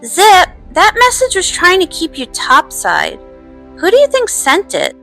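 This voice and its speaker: A woman voicing an earnest, bubbly young pony princess in a cartoon fan dub, girlish and expressive. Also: extremely high pitch, a little slow, vocal fry, soft background music, heavily acted. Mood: doubtful, earnest.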